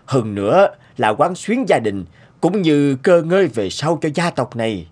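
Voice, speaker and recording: A man's voice: on the high side, big pitch swings, a little slow, darkish tone, slightly rough, exaggerated voice. An actor voicing a stern, exasperated middle-aged noble father in a cartoon, with an emphatic, commanding manner. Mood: grave, deliberate. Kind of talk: Vietnamese cartoon dubbing.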